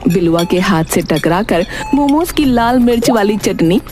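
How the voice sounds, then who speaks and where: A woman tells a scripted cartoon story as narrator. Her delivery is very animated in pitch, and music plays clearly behind her. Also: a little slow.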